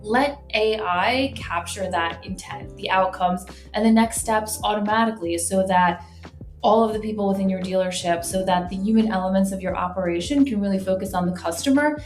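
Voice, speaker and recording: A woman's voice: mid-range voice, normal speed, darkish tone, faint music behind. A woman guest giving conversational business explanations in an interview, often tagging phrases with "like" and "right?". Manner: conversational, frequent "like" and "right?" tags. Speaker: guest, marketing executive. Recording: roomy, echoey sound.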